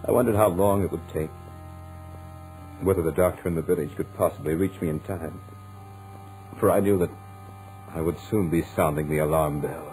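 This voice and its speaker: Man acting a haunted, low-voiced doomed narrator. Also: darkish tone, close to an everyday voice, poor audio. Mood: resigned horror. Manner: dramatic, deliberate, haunted. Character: terrified doomed man recounting his own hanging. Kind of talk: 1940s radio horror acting, first-person narration. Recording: old 1940s radio recording with music.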